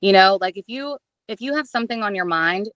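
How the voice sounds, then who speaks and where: Expressive chatty woman, dry sound. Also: medium pitch, brisk, no room sound. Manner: chatty, expressive, self-deprecating. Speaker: audiobook narrator and guest. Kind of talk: casual interview chat.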